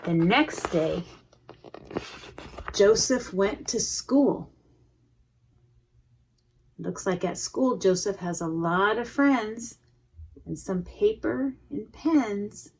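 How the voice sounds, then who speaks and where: A woman reading a picture book aloud to young children, expressively, pausing to comment on the pictures. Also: medium pitch, expressive, gravelly, breathy edge, rough recording. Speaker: preschool educator reading a storybook. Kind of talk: read-aloud storytime with asides to young children.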